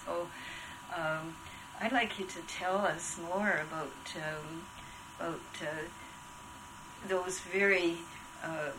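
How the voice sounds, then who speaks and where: A woman's voice: low voice, noisy background. A woman interviewing a guest, asking long, hesitant questions full of filler sounds. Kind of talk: casual interview.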